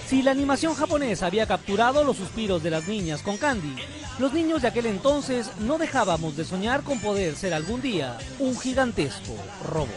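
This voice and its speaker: A man's voice: high-pitched, big pitch swings, fairly quick. A man narrating a scripted television feature as a reporter, in a dramatic storytelling manner. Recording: studio voice-over with loud music bed. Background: loud music.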